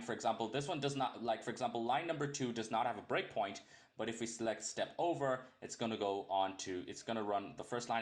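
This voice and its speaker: A young man teaching a programming lesson in a casual, rambling way, often correcting himself and joking as he goes. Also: on the low side. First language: English. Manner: rambling, self-correcting, jokey asides. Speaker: programming tutor. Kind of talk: casual screen-recorded coding tutorial.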